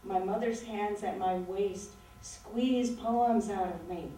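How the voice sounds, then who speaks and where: Slow-reading woman in her sixties, echoey hall. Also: medium pitch, warm tone, a little hiss or hum. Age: 60s. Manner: slow, measured, reflective. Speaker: author giving a reading. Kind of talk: poetry reading with talk between poems. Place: lecture room at a literary event. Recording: very echoey room, voice distant from mic.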